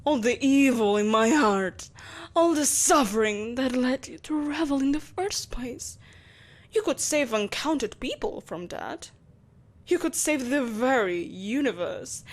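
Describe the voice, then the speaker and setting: A woman's voice: high-pitched, heavily acted. A woman playing an imperious, seductive evil empress in a scripted roleplay audio drama, speaking in a haughty, theatrical, coaxing manner. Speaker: voice actor.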